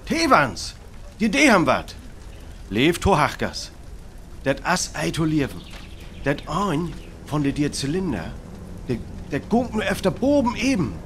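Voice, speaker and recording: A man's voice: on the high side, wildly swooping pitch, unhurried, fairly bright tone, a little hiss or hum, exaggerated voice. A man playing an urgent, probing radio reporter giving a dramatic live report in a radio play. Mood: tense, curious. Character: excitable male radio reporter at a crash site.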